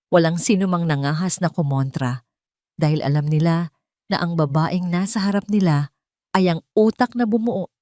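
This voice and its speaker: A woman narrating a dramatic first-person story in a solemn, deliberate storytelling manner. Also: fairly low pitch, warm tone, dry recording, clean studio sound.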